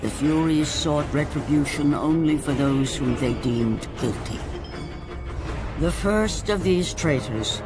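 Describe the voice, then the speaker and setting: An actor playing a solemn storyteller who narrates ancient myth in a grave, measured, dramatic way. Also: male voice, a little high. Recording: loud music over the voice. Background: loud music.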